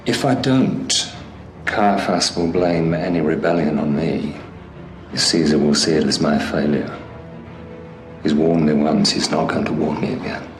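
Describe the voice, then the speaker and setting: Man acting low-voiced, stern Roman governor. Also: unhurried. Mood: anxious, frustrated. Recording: echoey room with music underneath.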